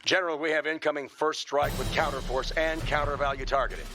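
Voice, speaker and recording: An actor playing a brisk, dutiful military officer making formal technical reports in a radio drama. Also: male voice, on the high side, fairly even intonation, over music, natural voice. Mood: urgent, official.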